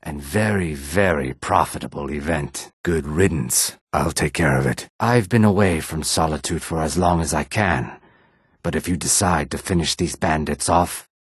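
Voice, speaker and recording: A male actor voicing a sly, courteous lizard-man townsman in a fantasy video game, with a husky, raspy voice and measured, formal phrasing. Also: extremely low pitch, no echo, clean studio sound, exaggerated voice. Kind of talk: scripted video game dialogue acting.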